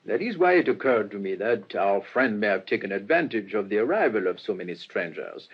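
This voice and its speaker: A man acting in a radio drama as a courteous, astute middle-aged police inspector, speaking in a measured, formal, ruminative way. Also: lowish voice, sing-song, husky. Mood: explaining, assured. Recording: muffled, lo-fi radio recording, faint noise.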